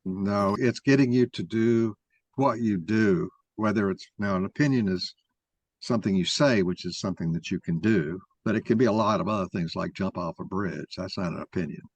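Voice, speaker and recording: A man's voice: on the low side, normal speed, no room sound, muffled recording. A man giving an explanatory talk in an opinionated, didactic way, taking questions as he presents his argument.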